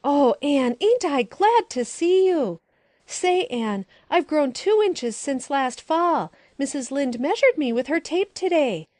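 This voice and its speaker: A woman voicing an excitable, mischievous little boy who chatters eagerly in an audiobook. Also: high voice, a little slow, few pauses, no room sound, studio quality, voice pushed up. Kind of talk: audiobook character voice.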